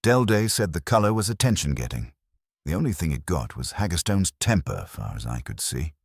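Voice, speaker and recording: A man's voice: deep voice, big pitch swings, fairly quick, creaky, slightly rough, no room sound, exaggerated voice. A man voicing a weary, grumbling older male caretaker in an audio story, dry, clipped and grudging. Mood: dry, sardonic.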